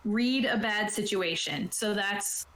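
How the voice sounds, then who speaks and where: A woman's voice: medium pitch, slow pace, fairly bright tone, slight background noise. A woman game master casually explaining tabletop game rules in an informal, conversational way. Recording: roomy, echoey voice sound.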